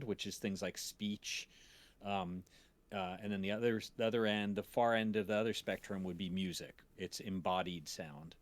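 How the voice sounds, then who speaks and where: A man talking as a teacher on a livestream, explaining informally in a halting, digressive way. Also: on the low side. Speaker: sound design teacher.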